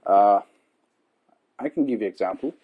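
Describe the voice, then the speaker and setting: Earnest low-voiced young man from Ethiopia. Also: normal speed, slightly rough, narrow, tinny audio. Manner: earnest, repeats "okay" and "right?" to check in. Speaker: student giving advice to peers. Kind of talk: casual monologue to camera.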